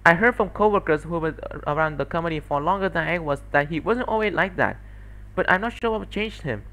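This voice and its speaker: A man voicing an earnest female former researcher who explains things in a measured, guilty way, in an amateur visual novel fandub. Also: a little high, lively intonation, sharp tone, low-quality recording. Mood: regretful.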